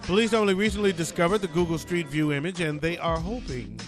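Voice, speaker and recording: A man hosts a comic news podcast with a fairly high voice. He reads out strange crime stories and breaks in with sarcastic jokes. Faint music plays behind him. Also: quick pace. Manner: sarcastic, joking asides. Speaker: podcast host.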